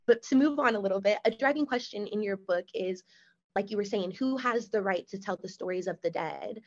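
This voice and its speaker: A young woman hosts the conversation. Her voice sits at a middle pitch and the audio sounds dull and lo-fi. She speaks earnestly and enthusiastically, dropping in "like" as she goes. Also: a little fast. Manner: earnest, enthusiastic, uses "like" as filler. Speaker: podcast host. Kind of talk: casual interview hosting.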